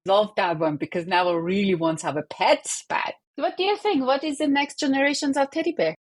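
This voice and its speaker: A woman's voice: mid-range voice, brisk. A woman co-hosting a podcast, enthusiastic and eager as she introduces the guest. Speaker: co-host.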